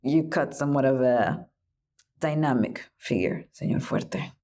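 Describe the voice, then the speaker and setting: An actress playing a shy, starstruck young museum worker in an audio drama, hesitant and apologetic. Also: female voice, very deep voice, measured pace, dark tone. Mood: flustered, smitten.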